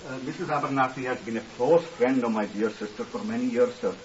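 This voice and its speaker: A man's voice: medium pitch, quick pace, close to an everyday voice. An actor playing a meek, flustered, mournful man in a 1950s radio drama, hesitant and fussily deferential. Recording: hissy, muffled, distant 1950s radio recording.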